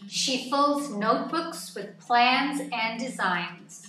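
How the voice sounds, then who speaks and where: A woman reading a nonfiction picture book aloud to children in an expressive, deliberate storytelling way, phrase by phrase. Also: a little high. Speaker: children's story-time reader. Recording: echoey room, distant mic, music underneath.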